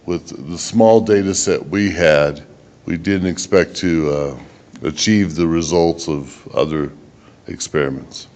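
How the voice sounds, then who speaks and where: A man giving a technical conference presentation as a researcher, in a measured, halting way with occasional stumbles. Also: sits low, darkish tone.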